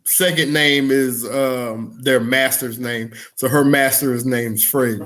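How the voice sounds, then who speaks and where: A man's voice: mid-range voice, steady tone, slow pace, a bit bright. A man co-hosting a comedy podcast in loose, slangy chat, repeating himself for emphasis. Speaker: podcast co-host.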